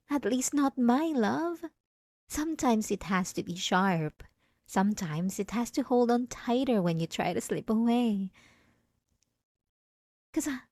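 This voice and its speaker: A woman playing a possessive, obsessive wife in a dramatic ASMR roleplay, speaking in an intimate, taunting and insistent way with drawn-out emphasis. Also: mid-range voice, no room sound, clean studio sound. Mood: tender, menacing, then indignant, mocking. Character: possessive, obsessive yandere wife, sweetly menacing and manipulative.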